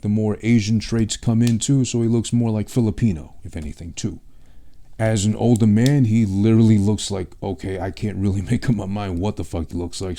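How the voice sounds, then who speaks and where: A man's voice: lowish voice, warm tone. A young man from Peru with a New York accent, rambling through a casual monologue with blunt, profane talk. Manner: rambling, thinking aloud.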